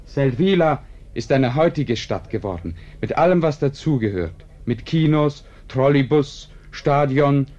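An actor playing an urbane author who narrates a radio play with courteous, dry irony in measured phrases. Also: male voice, medium pitch, lively intonation, a little mellow, close to an everyday voice. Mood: wry, composed. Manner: courteous, dryly ironic, measured. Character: urbane, ironic male author narrating his own tale. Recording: dull, band-limited radio recording.

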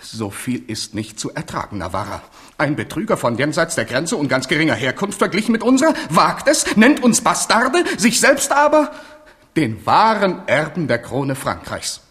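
High-strung, volatile monarch, highish voice, animated swoops, theatrical radio drama. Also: male voice, moderate pace. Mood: indignant, wounded. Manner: volatile, swinging from outbursts to plaintive murmurs. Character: capricious, wounded, high-strung French king. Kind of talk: German radio play acting, theatrical.

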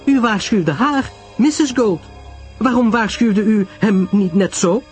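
A man voicing an earnest, questioning, easily astonished woman in an audiobook story, with a husky voice. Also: sits high, measured pace, darkish tone, faint music behind, pitched above the performer's own voice. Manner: questioning, insistent, emotional.

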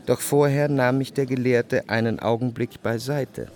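An actor playing a reflective, refined first-person narrator in a radio drama, reading in a measured literary style. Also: male voice, medium pitch, fairly even intonation, a little mellow, faint music behind, natural voice.

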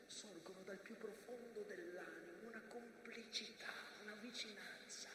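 Actor as impassioned war witness, high-voiced and echoing. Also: male voice, normal speed, background noise, lightly acted. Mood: moved, remembering. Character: war-weary First World War witness, impassioned and mournful. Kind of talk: theatrical dramatic monologue.

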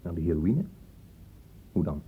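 An actor playing a cynical police inspector in a radio drama, blunt, sardonic and colloquial. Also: male voice, sits low, animated, measured pace, dark tone, a little hiss or hum. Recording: muffled phone-like old radio recording.

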